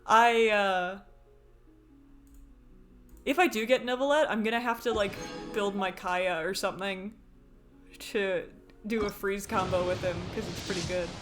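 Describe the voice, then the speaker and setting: A woman from Canada, chatting excitably and rambling while she live-streams a game. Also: medium pitch, measured pace, long pauses, music in the background.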